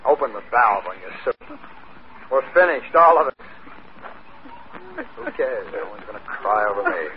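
A man's voice: on the low side, lively intonation, moderate pace, pauses often, lightly acted. A male actor playing a bitter, mocking, mutinous spaceman, sneering and sarcastic, in a noisy old 1950s radio drama. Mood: bitterly resigned. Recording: dull, noisy old radio broadcast recording.

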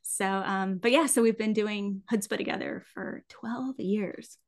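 A woman's voice: mid-range voice, measured pace. An American woman speaking as a podcast guest, bubbly, upbeat and enthusiastic. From United States. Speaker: podcast guest, studio co-founder. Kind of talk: casual interview chat.

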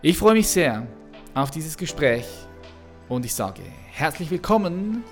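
A man's voice: on the high side, very animated, a little slow, soft background music. A man hosting a podcast, introducing his guest with an upbeat, emphatic delivery and long lists. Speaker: podcast host. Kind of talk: enthusiastic podcast introduction and interview.

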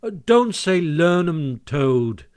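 A man's voice: a little high, animated, normal speed, a little mellow, close to an everyday voice. A man voicing a polite, anxious water rat in a storybook, speaking in a mild, pedantic way. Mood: shocked, correcting.

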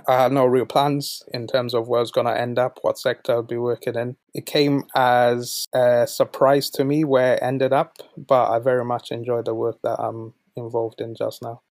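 Even-toned man in his late twenties. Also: medium pitch, no room sound. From born in Zambia, moved to the UK at twelve. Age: late 20s. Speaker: podcast guest, volunteer manager. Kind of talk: conversational interview answers.

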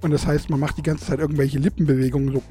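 A young man chats loosely into his podcast mic and lisps noticeably through a gap in his teeth. His voice sits a little high but has a dark, mellow tone. He laughs often and jokes about himself, with faint music underneath. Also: level tone, rapid-fire. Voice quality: lisp from a tooth gap. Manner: chatty, laughs often, self-mocking. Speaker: podcast host. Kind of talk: casual solo podcast chat. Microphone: studio microphone.

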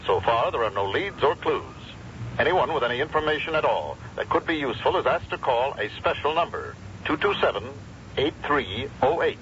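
An actor playing a formal, impersonal newsreader giving a bulletin in an old radio drama. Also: male voice, medium pitch, animated, fairly quick, a bit bright. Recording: muffled old radio, faint noise.

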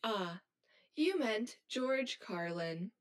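A woman's voice: medium pitch, lively intonation, drawn-out words. A woman acting as an anxious, hollow female robot in an audio drama, speaking in formal, stilted, literal phrases. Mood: matter-of-fact.

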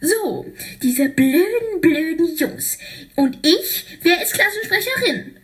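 A woman's voice: extremely high pitch, animated, takes it slowly, fairly bright tone, pitched above the performer's own voice, exaggerated voice. A woman voicing a pushy girl who wants to be class representative. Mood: indignant. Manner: indignant, pushy. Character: bossy girl eager to be class rep.

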